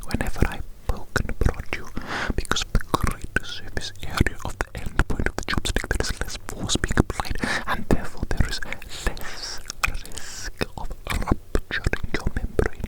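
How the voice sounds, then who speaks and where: A performer whispering an ASMR roleplay as a doting evil mad scientist, breathy, fussy and coaxing, repeating phrases slowly. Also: close to an everyday voice, poor audio. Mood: reassuring, pedantic. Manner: slow, repetitive, fussy, coaxing.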